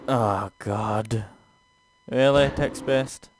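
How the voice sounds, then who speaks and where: A man's voice: medium pitch, extremely slow, slight background noise. A man co-hosting a casual let's play, reading game text aloud with stumbles and sweary, exasperated asides. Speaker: gaming show co-host playing and reading aloud.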